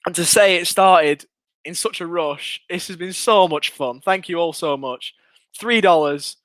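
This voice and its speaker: A young English man hosting a live fan watchalong, commentating on a match and reading out viewer comments, excitable and chatty, his voice going hoarse. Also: high voice, measured pace, dry recording. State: losing his voice.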